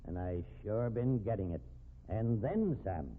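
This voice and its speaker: An actor playing a wry, folksy police inspector with a casual manner in a 1950s radio drama. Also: male voice, fairly low pitch, sing-song, unhurried. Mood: wry, amused. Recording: narrow, faintly noisy old radio recording.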